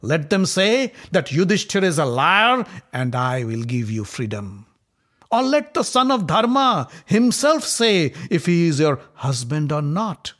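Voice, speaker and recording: A man's voice: fairly high pitch, sing-song, unhurried, fairly bright tone, pitched above the performer's own voice. A man voicing a mocking, arrogant young prince who taunts and laughs. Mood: gloating.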